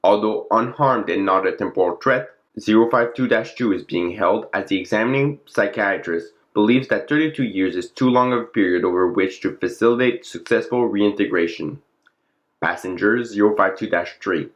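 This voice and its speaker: A man's voice: fairly low pitch, normal speed, highs cut off. A man narrating a fictional classified document in a formal, clinical log-reading manner. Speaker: narrator and presenter of the channel.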